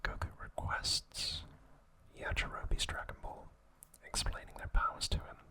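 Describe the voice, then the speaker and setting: A man narrating a scripted ASMR video in a breathy whisper, with deliberate phrasing in short pieces. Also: pushed and bright. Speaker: host of an ASMR channel.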